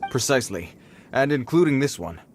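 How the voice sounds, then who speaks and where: A man's voice: mid-range voice, lively intonation, a little fast, a little hiss or hum, lightly acted. A man voicing a dutiful patrol guard in a game, formal in speech and flustered around children. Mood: stern. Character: adult male patrol guard, dutiful and awkward. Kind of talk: game cutscene voice acting.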